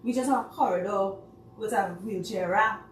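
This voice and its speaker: A woman's voice: mid-range voice, moderate pace. A Trinidadian woman talking as a guest on a talk show, passionate and emphatic, laughing often. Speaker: guest, theatre producer. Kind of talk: casual talk show chat. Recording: roomy sound from a distant mic with faint noise.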